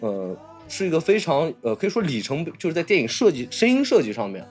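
A man co-hosting a casual film discussion, blunt and slangy, often halting and repeating words. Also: a little high, very animated, soft background music. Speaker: podcast co-host.

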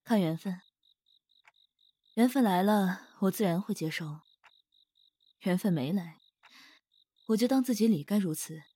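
Cool, self-possessed female finance director, mature and shrewd, slightly husky mid-range voice, measured delivery. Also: mid-range voice, lively intonation, mellow, no room sound. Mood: cool, reserved. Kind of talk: modern audio drama acting with inner monologue.

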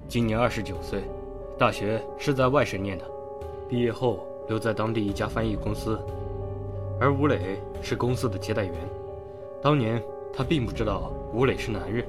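Guy acting as easygoing investigator man in a radio drama, low voice, soothing explainer, music underneath. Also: normal speed, lightly acted. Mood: explaining. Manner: placating, explanatory. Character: easygoing peacemaking male investigator, patiently explaining a case. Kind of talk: audio drama dialogue.

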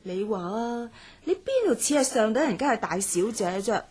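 A woman playing an outspoken, loyal young student in a radio drama, expressive and exclamatory. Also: fairly high pitch, normal speed, slightly rough. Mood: indignant.

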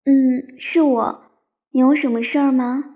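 A woman playing a young, romantic, dreamy student in a radio drama, telling her love story in a soft, sentimental, unhurried way. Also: high voice, steady tone. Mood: shy. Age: young adult. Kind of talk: radio drama narration and dialogue. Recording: dull lo-fi recording with piano music. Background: piano music.

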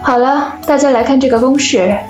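A woman's voice: high-pitched, a little fast, over music, close to an everyday voice. A woman playing a didactic maths teacher in an audio drama, in roomy, dull sound. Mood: instructive. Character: instructive schoolteacher giving a maths lesson. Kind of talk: Chinese audio drama acting.

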